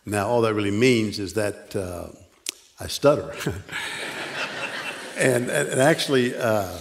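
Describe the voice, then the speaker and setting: A man's voice: fairly low pitch, gravelly. Older man preaching through a stutter. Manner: stutters, with pauses and restarts, self-deprecating humor. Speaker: guest preacher. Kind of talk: sermon. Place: church sanctuary.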